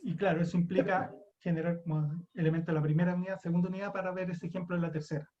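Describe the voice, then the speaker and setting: A man's voice: sits high, little pitch movement, racing, lo-fi sound. A young man with a Chilean accent giving an online university lecture, casual and self-deprecating, thinking aloud with restarts. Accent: Chilean Spanish. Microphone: computer mic on a video call.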